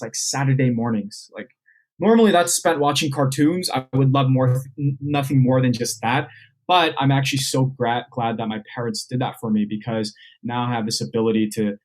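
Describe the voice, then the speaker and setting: Storytelling young magician from Southern California. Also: male voice, mid-range voice. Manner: explains step by step, acts out remembered dialogue. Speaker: magician guest. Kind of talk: podcast interview answers.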